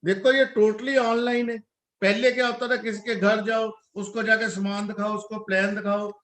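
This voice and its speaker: Forties guy from india, high voice, earnest, talking like a dad, echoey room. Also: sharp tone. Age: 40s. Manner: earnest, reflective, mixes English words into Hindi. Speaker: parent guest. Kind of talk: casual talk.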